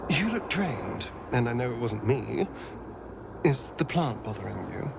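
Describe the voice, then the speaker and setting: Arch vain game rogue, biting wit, swooping delivery, loud ambient noise behind. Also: male voice, mid-range voice, big pitch swings, a little slow, vocal fry. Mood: mock concern. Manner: arch, theatrical, sardonic. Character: sardonic vain male adventuring companion with a biting wit. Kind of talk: fantasy video game acting.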